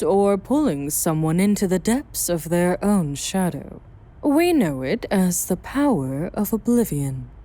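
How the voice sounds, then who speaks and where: Mellow, expressive female vampire played by an actress. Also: on the low side. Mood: solemn, explanatory. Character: self-exiled female vampire sire, worldly, guarded, brooding. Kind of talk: audio drama acting.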